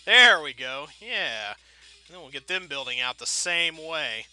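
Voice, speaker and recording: Bright, high-voiced man doing game commentary. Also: sing-song, a little slow, smooth. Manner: rambling, playful, folksy mild exclamations. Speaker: gameplay video host.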